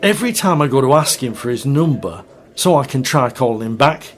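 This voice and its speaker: An actor playing a tired, edgy local radio traffic presenter in an audio drama, plain-spoken and colloquial. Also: male voice, mid-range voice, lively intonation, brisk, lightly acted. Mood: edgy, grumbling.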